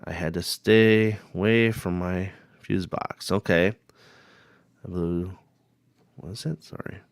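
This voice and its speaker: Deep hoarse guy with a cold rambling on his paranormal stream, dry close sound. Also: fairly even intonation, slow, dry recording. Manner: rambling, greets viewers by name. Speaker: paranormal live stream host. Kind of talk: casual live-stream chat.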